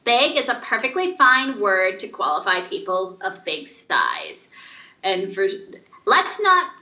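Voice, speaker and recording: Very sharp female voice, echoey lo-fi. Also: mid-range voice, huge pitch swings, slow pace. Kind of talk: reading a comment aloud. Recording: dull, echoey lo-fi room sound.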